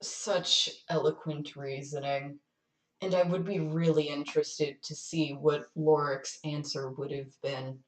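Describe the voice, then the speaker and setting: A woman's voice: sits low, fairly even intonation, unhurried. A woman guest chatting casually on a podcast, recorded in a roomy, echoing space.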